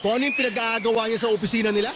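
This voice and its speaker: A man's voice: very high voice, steady tone, rapid-fire, heavy background noise. A man acting a vengeful wronged husband in radio drama, aggressive and taunting. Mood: menacing. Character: wronged husband, vengeful, menacing confronter of his wife's lover. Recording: loud music bed behind voice.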